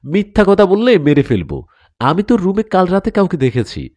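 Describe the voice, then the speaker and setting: A man's voice: high-pitched, huge pitch swings, moderate pace, a little mellow, voice pushed up, exaggerated voice. A man voicing a stern, angry village father, a former healer, scolding emphatically in a story. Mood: angry, suspicious.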